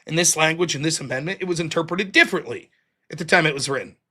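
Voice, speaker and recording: A man's voice: a little high, fast, sharp tone, husky. A man hosting a solo political commentary livestream, explaining a legal reading point by point in an argumentative, emphatic way. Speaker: livestream show host.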